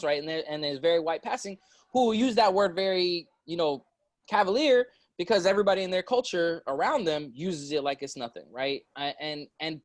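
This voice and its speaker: Male panelist, higher-pitched, emphatic and slangy, dry recording. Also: dry recording. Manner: animated, emphatic, slangy, lots of likes and rights. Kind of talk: casual panel discussion.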